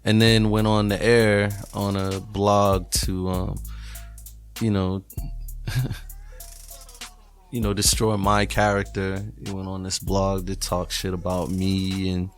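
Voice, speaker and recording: Podcast guest: American man, lower register, candid and emphatic, conversational with a frustrated edge, faint music underneath. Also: completely flat delivery, slow, pauses often. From New England, United States. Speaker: podcast guest, hip hop artist.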